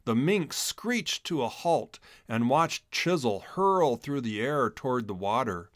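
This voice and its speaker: A man reading a children's storybook aloud in an expressive, dramatic narrating style. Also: medium pitch, takes it slowly, no room sound, clean studio sound. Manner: animated, dramatic delivery. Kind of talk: expressive scripted narration for a read-along storybook.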